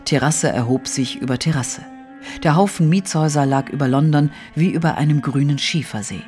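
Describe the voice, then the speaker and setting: A man narrates a radio play from a script. His voice sits a bit higher than average, with faint music underneath. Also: fairly quick, darkish tone. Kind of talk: scripted audio drama narration.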